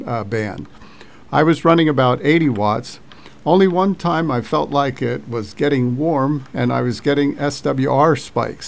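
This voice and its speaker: A man narrating an informal hobby video recap with hesitant, halting phrasing. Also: mid-range voice, gravelly.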